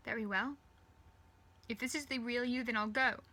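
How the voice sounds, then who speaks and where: Woman acting a tearful, defiant young woman, higher-pitched. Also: steady tone, normal speed, pushed and bright. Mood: tearful defiance. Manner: choked, emotional, higher-pitched. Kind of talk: dramatised story reading.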